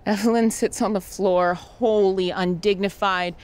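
A woman's voice: mid-range voice, steady tone, measured pace, darkish tone. A woman host giving scripted dramatic narration of a story.

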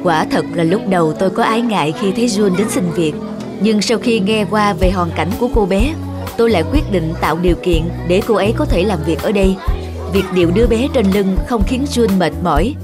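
Woman doing kind calm male restaurant boss, cartoon dub, music under it. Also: medium pitch, brisk, natural voice. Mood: kindly explaining. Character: understanding male restaurant manager, kindly and composed.

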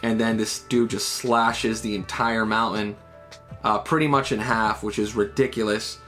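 A man's voice: fairly low pitch, steady tone, unhurried, a bit bright, faint music behind. A man in his thirties talking through a comic chapter in a loose, casual way, with many fillers and "right?" tags, recorded indoors at home on a webcam setup. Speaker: manga reviewer. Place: small room at home, indoors.

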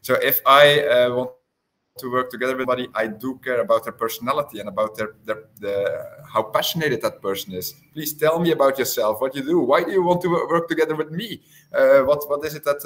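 Man in his 30s, from Belgium, medium pitch, halting, repetitive phrasing, conversational panelist. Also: a bit bright. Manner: halting, repeats words as he goes. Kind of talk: conversational interview answer. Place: small indoor space on a video call.

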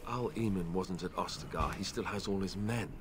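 A man's voice: low-pitched, moderate pace, background noise, lightly acted. A young man acting an earnest, anxious warrior in a fantasy game, hesitant and naive in his delivery. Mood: earnest, indignant. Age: young adult.

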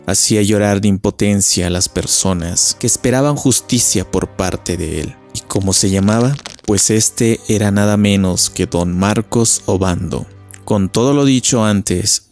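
A man narrates a folk legend. His voice sits on the low side, in a scripted storyteller's delivery. Faint music plays behind him. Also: darkish tone.

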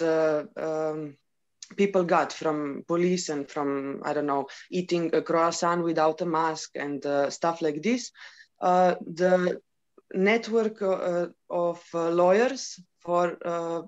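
A woman's voice: on the low side, darkish tone, dull audio. A woman from Croatia, an artist, speaking on a video call panel with frequent hesitations. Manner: hesitant, plain-spoken. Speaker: performance artist.

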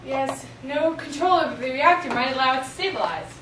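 Girl playing an anxious reactor engineer, high bright voice. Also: sing-song. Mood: worried. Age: young teen. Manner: halting, stammering. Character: anxious reactor engineer pushing through a risky procedure. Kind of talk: amateur school drama. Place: small office. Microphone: far camera. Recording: roomy, distant camera mic, faint noise.

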